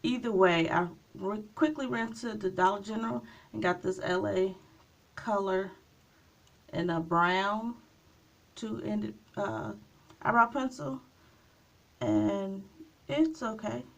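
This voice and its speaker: A woman vlogger talks at an easy, slow pace while she does her makeup. Her voice is gravelly and a little raspy from a coming cold, and her chat is loose and meandering, with little pauses. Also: mid-range voice, expressive. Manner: unhurried, chatty, trailing off with small pauses. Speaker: beauty vlogger. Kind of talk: casual makeup tutorial chat.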